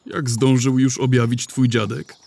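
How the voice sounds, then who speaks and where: An actor playing a courteous, easygoing wizard in an audio drama, speaking in an unhurried, polite way. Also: male voice, medium pitch, level tone, gravelly, a little mellow. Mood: polite, calm. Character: polite, easygoing travelling village wizard, adult man.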